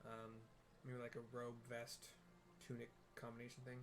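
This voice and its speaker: A man's voice: medium pitch, monotone, normal speed. A man casually narrates a game for blind viewers, describing what is on screen with frequent ums and restarts. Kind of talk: casual audio description over gameplay.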